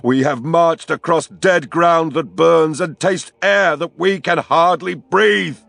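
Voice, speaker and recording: A man's voice: high-pitched, gravelly, pushed and bright, no room sound, voice pushed up, exaggerated voice. A man voicing a proud orc chieftain who bellows his grief to the spirits in an audiobook. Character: grieving male orc chieftain, proud and fierce.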